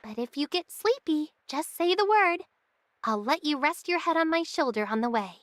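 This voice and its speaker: A woman's voice: high voice, lively intonation, normal speed. A woman playing a confident, teasing but caring young female guide in an anime-style game. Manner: breezy, teasing.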